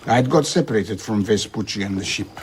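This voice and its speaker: An actor playing an idealistic older traveller and philosopher in an audio drama, speaking in a measured, reflective way. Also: male voice, on the low side, a little hiss or hum, close to an everyday voice. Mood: wistful. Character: worldly old male traveller-philosopher, idealistic and earnest.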